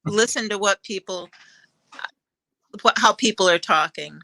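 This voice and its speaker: A woman's voice: mid-range voice, measured pace, creaky, sharp tone, dry recording, rough recording. Woman chatting offhandedly, close dry sound. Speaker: playwright. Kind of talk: casual chat on a panel. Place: online video call.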